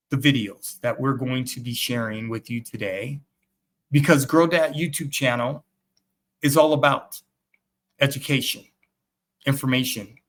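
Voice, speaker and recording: A man's voice: mid-range voice, fairly bright tone. Earnest middle-aged man rallying fathers. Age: 50s. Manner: earnest, emphatic, repeatedly addressing the fathers. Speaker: host and fatherhood author. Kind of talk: motivational talk to viewers. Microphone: webcam.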